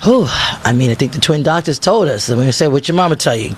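A man hosting a morning radio talk show as a fill-in, with a raspy voice that is getting hoarse; he is animated, laughs often and reads news stories aloud. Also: on the high side, brisk, a little hiss or hum. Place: radio studio.

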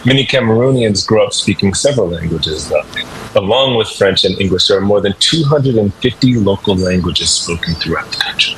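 A man leads a radio language lesson in a slightly low voice, upbeat and patient as he explains phrases and asks listeners to repeat them. A faint background noise sits under the recording. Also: lively intonation, fairly quick. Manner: upbeat, instructive, drills listeners with call-and-response. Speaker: language teacher segment host.

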